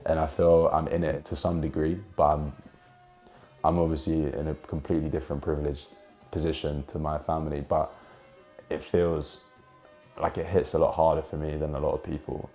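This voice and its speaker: Deep-voiced young man, softly dark tone. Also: little pitch movement, moderate pace, faint music behind. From Jordan and the UK. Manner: earnest, heartfelt. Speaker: documentary subject telling his family's story. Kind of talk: reflective personal testimony.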